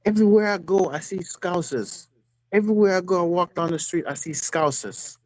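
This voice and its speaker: A man's voice: high voice, a little fast, a little mellow, no echo, low-quality recording. A man calling in to a football fan stream, speaking casually but with passion and repeating phrases for emphasis. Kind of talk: impassioned fan rant, casual. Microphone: phone, video call.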